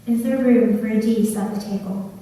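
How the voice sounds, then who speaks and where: A woman leading a church service as worship leader, reading calmly and steadily. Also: medium pitch, moderate pace, a little hiss or hum. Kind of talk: liturgical reading. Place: church sanctuary. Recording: echoey church from far off.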